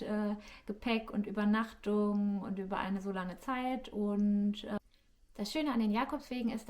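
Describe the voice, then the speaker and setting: A woman's voice: mid-range voice, a little mellow. A woman giving a casual, friendly explainer as a vlogger, searching for her words as she speaks directly to viewers. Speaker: YouTube vlogger. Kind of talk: casual informal explainer to camera. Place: living room.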